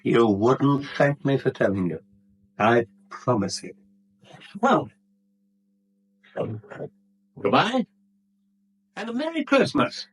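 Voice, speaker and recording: An actor playing a smug English blackmailer with a husky voice and mockingly polite manner, in a 1970s radio drama. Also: male voice, medium pitch, very animated, slow, pauses often, a little hiss or hum. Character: smooth-talking, smug male blackmailer posing as genial customer.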